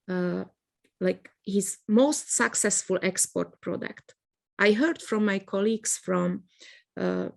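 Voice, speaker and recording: Woman journalist, gravelly edge, measured and thoughtful, restarts words. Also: medium pitch. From Slovakia. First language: Hungarian. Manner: thoughtful, repeats words while searching for phrasing. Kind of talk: panel interview answer.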